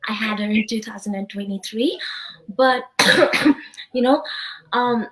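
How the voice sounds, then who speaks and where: A woman's voice: on the high side, steady tone, unhurried, fairly bright tone, poor audio. A woman in her thirties from Nepal, living abroad, talking through her story as an interview guest while a little unwell. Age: 30s. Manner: chatty, reflective, says "you know" often. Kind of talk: casual interview.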